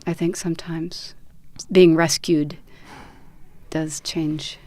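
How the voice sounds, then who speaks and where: Low-pitched woman, emphatic podcast host, dry. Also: level tone, slow, darkish tone, dry recording. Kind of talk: casual chat.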